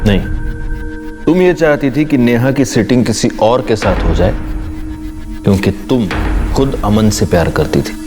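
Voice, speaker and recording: A stern police officer pressing suspects with firm accusations, played by an actor. He speaks in a mid-range voice, with loud dramatic music underneath. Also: mid-range voice, expressive, moderate pace, pauses often, close to an everyday voice. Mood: accusing.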